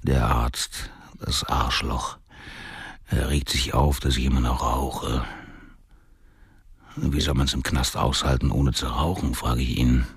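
A bitter, middle-aged convict thinks aloud in a radio play. His voice is extremely low and badly husky, with a slow, crude, weary delivery in a dry, close recording. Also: little pitch movement, no room sound, full character voice. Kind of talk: radio drama inner monologue.

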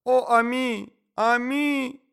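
A man's voice: extremely high pitch, fairly even intonation, extremely slow, creaky, a bit bright, clear, resonant tone, pitched above the performer's own voice, heavily acted. A man voicing a melodramatic, hysterical lady who wails and shrieks theatrically in an audiobook story. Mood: wailing horror. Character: hysterical, melodramatic lady wailing over her lapdog.

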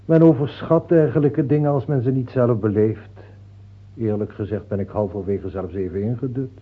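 A modest hermit holy man played by a man in a radio play, heard through a thin, tinny old recording. He is mild and weary, gently contrary, and understates everything in a plain, dry way. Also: medium pitch, moderate pace, breathy edge, close to an everyday voice. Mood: sheepish, understated.

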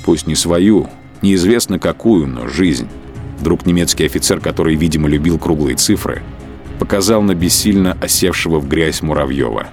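Low-voiced man narrating a radio drama, slow and grave, soft music behind. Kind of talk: scripted literary narration for a radio play.

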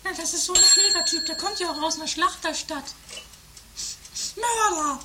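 A woman's voice: very high-pitched, moderate pace, very noisy. A woman acting a fretful, quarrelsome woman in an improvised radio comedy, with melodramatic complaining. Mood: exasperated. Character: shrill, fretful, quarrelsome woman of a bickering family. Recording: amateur 1980s radio play with loud music.

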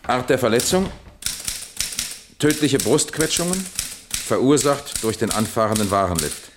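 An actor playing a businesslike police captain in a 1960s German radio crime drama, methodical and official as if dictating a report. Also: male voice, mid-range voice, lively intonation, a little slow, noisy background, close to an everyday voice. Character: businesslike male police captain heading an accident investigation.

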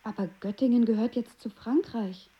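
Casting: woman playing sharp-witted lecturing wife, fairly high voice, reproachful, radio drama with slightly dull sound. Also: normal speed, natural voice. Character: sharp-witted, politically minded wife lecturing her husband. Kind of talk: radio play acting.

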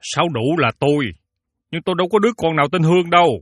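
A man's voice: fairly high pitch, racing, dry recording, studio quality, lightly acted. A man playing a middle-aged, worried village father in an audio story, polite and plain-spoken with a Southern Vietnamese accent. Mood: puzzled. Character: humble, worried older village father, patient and conciliatory. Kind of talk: audio story drama dialogue.

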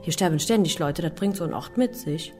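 Woman acting mellow, matter-of-fact nurse. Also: sits low, a little fast, soft background music, close to an everyday voice. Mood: detached. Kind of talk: German radio drama acting.

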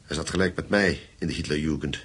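A grave old-war comrade in a radio play. The voice is very deep and heavily husky, with weighty, measured phrases that probe and confide. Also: male voice, a bit bright, heavily acted. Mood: reluctant, sombre. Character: grave German war veteran, the widow's confidant. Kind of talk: radio drama acting.

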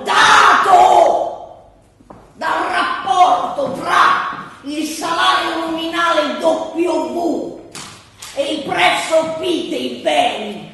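An actress playing a pedantic economist in a satirical stage lecture, didactic, halting and emphatic. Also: female voice, high-pitched, pushed and bright, a little hiss or hum, full character voice. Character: pedantic female economist lecturing on wage formulas. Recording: very echoey hall, distant mic.

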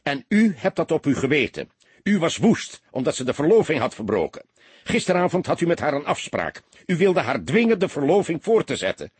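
A man's voice: on the high side, sing-song, fairly quick, darkish tone, breathy edge, no room sound, pitched above the performer's own voice. A man voicing a hot-headed young police detective in an audiobook, harsh, fierce and accusing. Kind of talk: audiobook character acting.

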